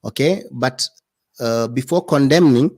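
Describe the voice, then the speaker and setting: A man's voice: mid-range voice, measured pace, darkish tone, no room sound, studio quality. A man as a guest commentator in an interview, speaking in earnest, repeating phrases for emphasis.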